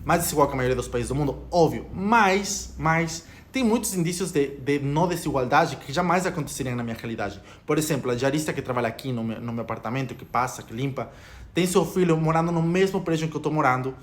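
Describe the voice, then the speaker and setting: A man's voice: on the high side, quick pace. A young man from Ecuador with a Spanish accent in Portuguese, a vlogger talking to camera in an opinionated, rambling way. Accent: Spanish-speaking Ecuadorian accent in Portuguese. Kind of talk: casual talk to camera.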